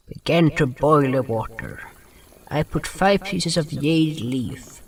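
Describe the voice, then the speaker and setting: A man playing a gentle, wise nonhuman healer in a fantasy audio drama, speaking halting broken English with dropped articles. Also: fairly high pitch, vocal fry, husky, full character voice, rough recording. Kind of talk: first-person fantasy audio drama narration and dialogue.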